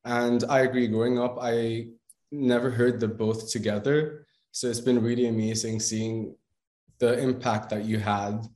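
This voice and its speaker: A man's voice: medium pitch, steady tone, a little slow, darkish tone, roomy sound. A man interviewing a singer, asking questions in an enthusiastic, praising way.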